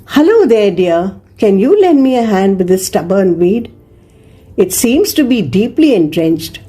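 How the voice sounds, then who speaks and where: A woman playing a gentle, kindly old grandmother in a children's story, speaking in a warm, encouraging, amiable way. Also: medium pitch, very animated, measured pace, husky.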